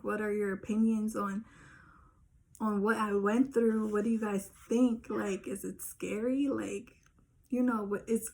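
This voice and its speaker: Young woman, YouTuber, casual storytelling, earnest, even monotone delivery, medium pitch. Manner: earnest, insistent, addresses viewers directly.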